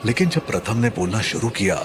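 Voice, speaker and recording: A man's voice: lowish voice, big pitch swings, fairly quick. A man narrating a scripted horror story in a dramatic, suspenseful manner. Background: music.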